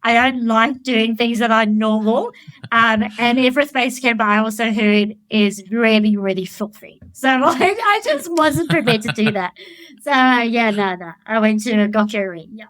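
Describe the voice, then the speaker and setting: A high-pitched woman speaks in a frank, excitable way, a life coach who doesn't mince words and curses casually. Her voice sits dry and close to the mic, with no room echo at all. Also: moderate pace. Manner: candid and blunt, swears freely; enthusiastic, animated storytelling. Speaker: life coach, podcast guest. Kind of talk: casual conversational interview.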